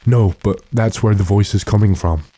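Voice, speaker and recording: An actor playing a composed, authoritative gentleman investigator in a radio drama, measured and deliberate. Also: on the low side, dry recording, close to an everyday voice. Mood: resolute. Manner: measured, deliberate, matter-of-fact. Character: calm, authoritative gentleman investigator addressed as sir. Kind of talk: classic radio drama acting.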